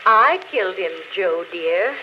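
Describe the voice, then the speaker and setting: Casting: woman to play a remorseful dying killer confessing in measured, intimate tones, 1940s-style radio thriller, dull crackly sound. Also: medium pitch, lively intonation, lightly acted. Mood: confiding, remorseful. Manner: intimate, measured confession, addressing a loved one. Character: dying woman confessing a murder on a phonograph record, softly remorseful. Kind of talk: old-time radio suspense acting. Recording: dull, noisy, crackling vintage radio recording.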